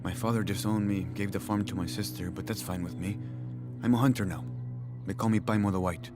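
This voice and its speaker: Male actor for proud ex-farmer hunter in a game, deep storyteller delivery over clear music. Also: fairly even intonation, fairly quick, natural voice. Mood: proud, animated. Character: contented male villager, farmer turned hunter. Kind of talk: video game dialogue acting.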